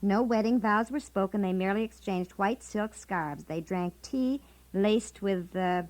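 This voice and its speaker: An actress playing a chatty, romantic American wife in 1950s radio comedy, conversational, teasing and emphatic. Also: female voice, mid-range voice, unhurried, darkish tone, natural voice, rough recording. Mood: dreamy, insistent.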